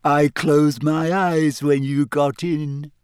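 A man's voice: a little high, flat delivery, smooth, dry recording, clean studio sound. A man reading an audiobook, voicing a glum, sly schoolgirl ghost who mopes and drags her words. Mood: moping. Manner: morose, moping, slow. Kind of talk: audiobook character voice.

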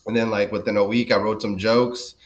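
A man in his thirties from the DC area talks in a low, relaxed voice, rambling with lots of fillers and restarts. He is a guest comedian in casual podcast chat. The recording sounds dull and lo-fi. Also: a little fast. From DMV area, US. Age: 30s. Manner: laid-back, rambling, many fillers and restarts.

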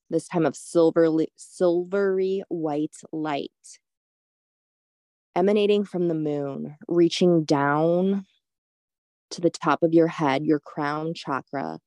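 A woman leading a guided meditation in a soothing, unhurried, gently instructive way. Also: low-pitched, no echo, clean studio sound.

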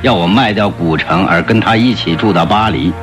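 An actor playing a gentle, courteous older marquis in a radio drama, speaking politely with hesitant interjections. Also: male voice, sits low, normal speed, music in the background, lightly acted. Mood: earnest, mild. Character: gentle, courteous grey-haired aristocratic marquis, a father.

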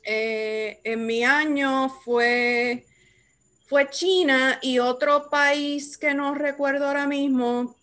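A young adult woman hosting a casual chat, lively and quick to laugh. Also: high voice. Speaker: host. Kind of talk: casual conversation.

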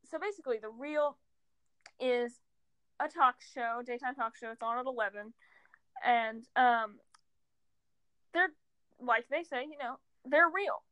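Teenage girl, podcast co-host, rambling jokey chat, high bright voice. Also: a little slow, long pauses, smooth, dry recording. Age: teens. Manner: rambling, joking, many fillers. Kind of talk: casual chat.

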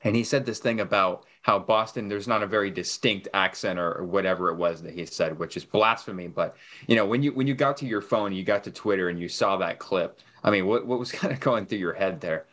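A man hosting a casual sports podcast, relaxed and upbeat while he sets up questions. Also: low-pitched, a little fast. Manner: relaxed, hypes up, asks long questions. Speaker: podcast host.

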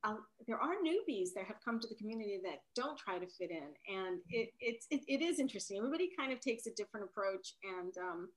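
Halting woman author, native Italian speaker. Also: mid-range voice, expressive. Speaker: novelist being interviewed. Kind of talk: casual conversational interview.

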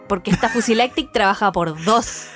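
A woman with a Chilean accent, co-hosting a casual podcast in a brisk, upbeat, slangy way. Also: medium pitch, fairly bright tone, faint music behind. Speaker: podcast co-host.

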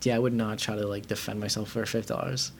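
A man's voice: fairly low pitch, little pitch movement, quick pace, vocal fry, slight background noise. Young man from Iran, rambling chat. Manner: rambling, heavy use of filler words. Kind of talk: casual chat.

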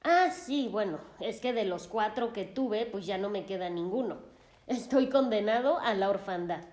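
A woman's voice: mid-range voice, animated, moderate pace, a little mellow, lightly acted. A woman voicing a troubled, self-absorbed adopted young man who speaks in a dramatic, self-pitying and boastful way. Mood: wounded. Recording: roomy echo.

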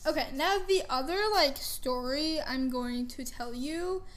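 A woman's voice: sits high, measured pace. A teenage girl raised in Canada, hosting a casual podcast chat and rambling through stories with frequent laughs. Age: teens. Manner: chatty, rambling, laughs often. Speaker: podcast host.

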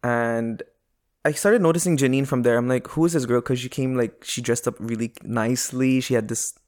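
A man's voice: medium pitch, steady tone, brisk, darkish tone. A man with a slight Filipino twang tells stories casually and jokingly, acting out quoted asides like a stand-up comedian chatting. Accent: Filipino-tinged. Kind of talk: casual conversational storytelling.

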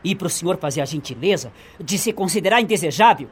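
An actor playing a curt, dismissive Brazilian male servant in a radio soap, brisk and officious. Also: high voice, animated, husky.

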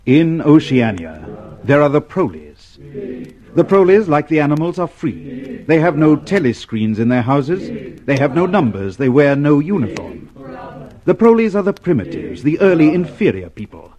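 A man's voice: a little high, big pitch swings, measured pace, lo-fi sound, crowd noise. A man giving dramatic scripted narration for a radio play in a solemn, declamatory way. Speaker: narrator and announcer.

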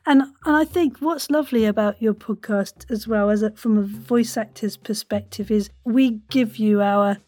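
A woman's voice: medium pitch, normal speed, few pauses, a little mellow, no room sound. A woman hosting a chatty interview podcast in an enthusiastic, playful way. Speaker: podcast host, voice actor.

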